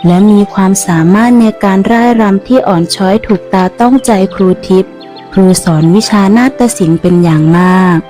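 A woman's voice: medium pitch, normal speed, warm tone, faint music behind. A woman reading a scripted ghost story as its narrator.

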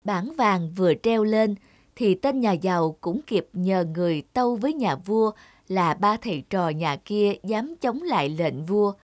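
A woman narrator reading a scripted story for an animated tale. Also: medium pitch, measured pace, no echo, clean studio sound.

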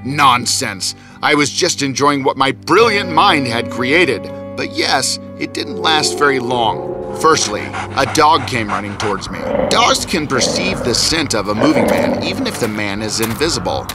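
A man's voice: mid-range voice, big pitch swings, unhurried, few pauses, loud music behind the voice. A man playing an arrogant invisible scientist in a cartoon, telling his own story in a theatrical, boastful, scornful way. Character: arrogant invisible male scientist, gloating and restless. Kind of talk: dramatic first-person storytelling in a cartoon.

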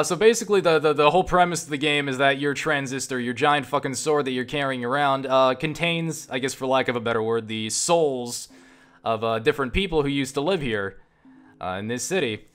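A man giving casual, unscripted video game commentary, enthusiastic and sweary, often halting and repeating words as he rambles. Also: medium pitch, a bit bright, clear, resonant tone. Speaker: video game reviewer and player.

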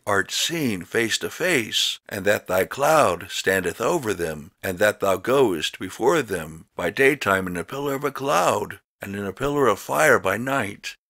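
A man reading scripture as a narrator in a solemn, dramatic style, with measured, emphatic, declamatory delivery. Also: fairly low pitch, fairly bright tone, no echo, clean studio sound. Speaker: Bible narrator.

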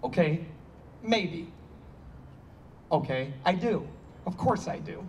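A man's voice: a little high, animated, takes it slowly, darkish tone. Actor as defensive, fumbling man, wry and hesitant, outdoor staged reading with distant, slightly noisy sound. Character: awkward, defensive older man fumbling apologies. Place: outdoor stage against a block wall. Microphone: handheld stage microphone, heard from afar. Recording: distant handheld mic, noticeable background noise.

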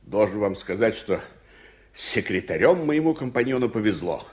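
An actor playing an excitable, proud uncle who is a war veteran, in an old radio play. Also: male voice, on the low side, normal speed. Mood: apologetic, courteous. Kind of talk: 1980s Soviet radio theatre acting.

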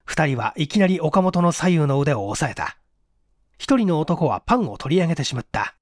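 Expressive, animated audiobook narrator. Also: medium pitch, moderate pace, no echo, studio quality. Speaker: audiobook narrator, stage performer. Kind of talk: dramatic literary story narration.

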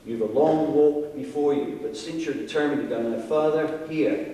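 A man acts out a headstrong gentleman barrister of colonial days, bound for the bush. His speech is florid, pompous and patronising, in an old storybook manner. It rings through a very echoey hall, some way from the microphone. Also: mid-range voice, normal speed, close to an everyday voice. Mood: condescending, resolute. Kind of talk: old-fashioned dramatic reading aloud. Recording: very echoey hall, far from mic.

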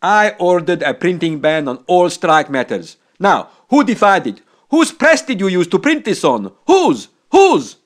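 Casting a shouting old newspaper boss, voice jumps wildly all over, done by the narrator. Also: female voice, on the low side, big pitch swings, measured pace, few pauses. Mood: outraged. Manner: blustering, shouting. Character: angry older male newspaper tycoon.

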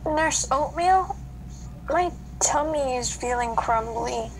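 A woman's voice: high-pitched, slow, over music, low-quality recording. A woman playing a nervous, chatty little cookie girl in a children's radio play. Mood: sheepish.

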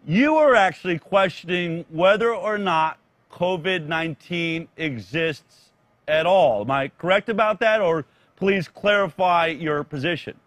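A middle-aged man hosts an interview show. His voice is on the higher side for a man. It is recorded very dry, with no room sound, as he frames questions for a guest. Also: a little slow, a bit bright, no room sound. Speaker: talk show host, interviewer. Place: indoors, studio.

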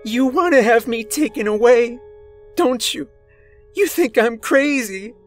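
A performer voicing a deranged, desperate and violent man in a dramatic horror story, agitated and hoarse. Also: lively intonation, a little slow, soft background music, close to an everyday voice. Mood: angry, threatening. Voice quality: hoarse shouting. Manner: agitated, booming, pleading.

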